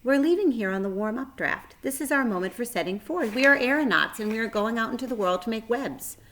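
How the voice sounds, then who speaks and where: A tiny baby girl spider from a storybook, played by a woman reading aloud. The voice is small, light and high, with a breezy, friendly, matter-of-fact childlike way of talking. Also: lively intonation, a little fast, a bit bright. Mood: breezy, proud.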